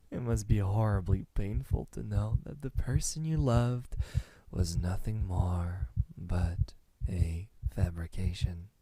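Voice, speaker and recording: A young man playing a menacing student kidnapper in an ASMR roleplay, calm and smug, speaking softly and deliberately into a close microphone. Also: sits low, dry recording, full character voice. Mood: quiet confession. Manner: soft, deliberate, breathy sighs, dropping into whispers.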